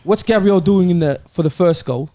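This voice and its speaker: A man's voice: high-pitched, moderate pace, dark tone. A man in a casual football debate, defending his point insistently as a panelist. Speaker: football talk show panelist.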